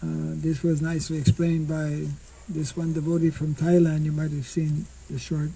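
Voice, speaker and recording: An older monk giving an informal scripture class outdoors into a stand mic, speaking slowly and haltingly and clearing his throat often. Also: a little high, monotone, mellow, poor audio. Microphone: stand mic in front.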